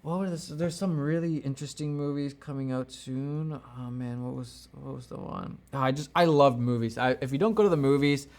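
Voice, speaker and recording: A man's voice: medium pitch, brisk, few pauses, a little mellow. A man hosting a casual livestream as a camera gear YouTuber, rambling and thinking aloud as he gives opinions and answers viewers. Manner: off-the-cuff, rambling, thinking aloud, backtracking mid-thought.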